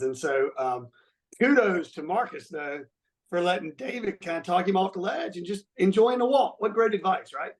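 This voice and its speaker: Male guest, raised pitch, conversational delivery, slightly distant pickup. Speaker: podcast guest. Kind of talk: casual chat.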